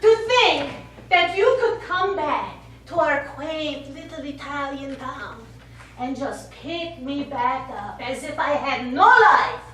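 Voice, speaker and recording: An actress on stage playing a middle-aged Italian war survivor, bitter and wounded, speaking deliberately and accusingly. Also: female voice, high-pitched, expressive, fairly bright tone, heavily acted. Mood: furious. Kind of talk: stage play acting. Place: small theatre stage. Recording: echoey theatre stage, distant mic, faint noise.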